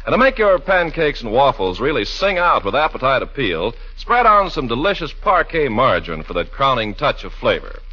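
A man's voice: mid-range voice, very animated, normal speed, few pauses, slightly rough. An American man announcing in a polished, selling 1940s radio advertisement style. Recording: muffled 1940s radio recording.

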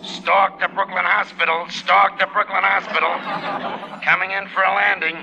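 Gravelly, wisecracking radio stork. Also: male voice, sits high, level tone, moderate pace, pushed and bright, muffled recording, exaggerated voice. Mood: wisecracking, weary. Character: wisecracking weary male stork delivering babies. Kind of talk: 1940s radio comedy acting. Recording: old radio recording with hiss and clicks.

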